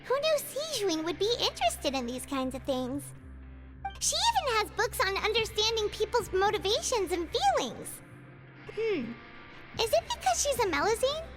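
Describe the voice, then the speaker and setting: Game fairy companion: childlike, curious, chatty, sky-high squeak with animated swoops. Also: female voice, normal speed. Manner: bubbly, expressive, refers to herself in third person. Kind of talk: video game voice acting. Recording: music behind the voice.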